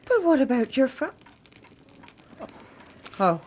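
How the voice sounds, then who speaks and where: An actress playing an anxious, gentle middle-aged mother in a radio drama, tender and hesitant. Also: sits high, very animated, slow, heavily acted. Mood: anxious concern.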